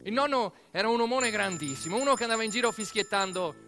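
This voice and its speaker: A man with a fairly high voice narrates a theatrical monologue for radio. He delivers the story dramatically in short phrases, with clear music behind him. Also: lively intonation, fast. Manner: dramatic, short phrases, as a boy recalling family. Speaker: actor-narrator.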